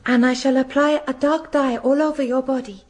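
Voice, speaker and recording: High-voiced polite bath attendant. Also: female voice, steady tone, a little fast, darkish tone. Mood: polite, matter-of-fact. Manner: courteous, methodical, formal. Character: polite, matter-of-fact young Japanese female bath attendant. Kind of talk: radio drama acting.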